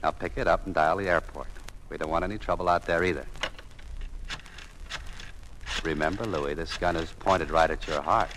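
A man's voice: deep voice, fairly even intonation, fast, a bit bright. A man playing a tough, resolute hero, terse and commanding, in 1940s radio drama style. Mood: cool threat. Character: tough, resolute, self-sacrificing American hero. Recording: noisy 1940s radio broadcast recording.

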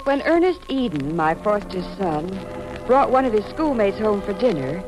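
A woman's voice: medium pitch, sing-song, normal speed, lightly acted, low-quality recording. An actress playing a foster mother who narrates her family story in an old-time radio serial. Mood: earnest concern. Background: loud organ music.

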